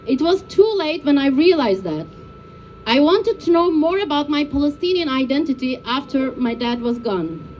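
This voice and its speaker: A woman from Turkey delivers a personal, emotional speech as a peace activist. Her voice is high and earnest. The recording is dull and muffled, with some faint noise. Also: a little mellow. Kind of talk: prepared public speech, personal testimony.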